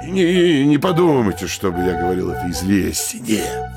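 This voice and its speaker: A man playing a wily, servile middle-aged town mayor in a theatrical comedy audio drama, with a gravelly, unctuous delivery. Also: on the low side, big pitch swings, normal speed, loud music behind the voice. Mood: fawning, eager. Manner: obsequious, unctuous and courtly. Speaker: actor.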